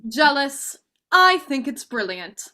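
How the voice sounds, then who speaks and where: High bright-voiced young woman, acted by a student, outspoken romantic type, stage play reading. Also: very animated, slow pace, full character voice. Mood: brisk, chirpy. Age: young adult. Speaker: student actor.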